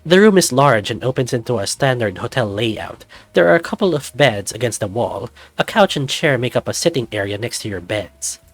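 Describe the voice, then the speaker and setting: A man narrating a visual novel in the second person in a lively storytelling manner. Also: medium pitch, brisk, hardly any pauses, dry recording, faint music behind.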